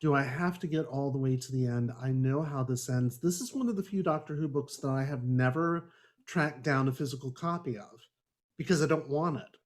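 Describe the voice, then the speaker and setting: A man's voice: medium pitch, a little fast. A man hosting a book club podcast, chatting casually and joking in a wry, exasperated way. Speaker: podcast host.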